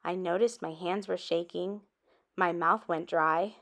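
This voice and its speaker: A woman reading a children's story aloud expressively, with dramatic emphasis and drawn-out words. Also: lowish voice, steady tone, a bit bright. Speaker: storybook reader.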